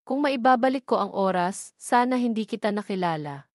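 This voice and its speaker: A woman's voice: medium pitch, animated, normal speed, no echo, studio quality, lightly acted. A woman reading a wounded, bitter young woman. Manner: bitter, accusing.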